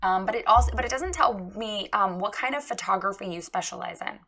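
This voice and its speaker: Bright, frank woman with a hoarse voice. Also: mid-range voice, lively intonation, brisk. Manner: frank, chatty, many ums and restarts. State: hoarse, recovering from lost voice. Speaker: website reviewer giving feedback. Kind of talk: casual spoken critique.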